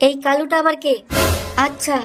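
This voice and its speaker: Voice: high-pitched, flat delivery, normal speed, music in the background, close to an everyday voice. A performer voicing an awkward, naive young village bridegroom in a cartoon, hesitant and earnest. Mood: uneasy. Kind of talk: comic cartoon dialogue. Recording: noisy track with clicks.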